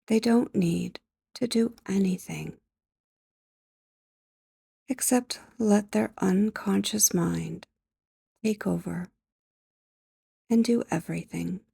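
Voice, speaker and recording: Female sleep hypnosis guide: mellow, creaky low-energy delivery, soft and breathy, gentle, measured, with long pauses. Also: no room sound, clean studio sound. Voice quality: soft, breathy, often near a whisper.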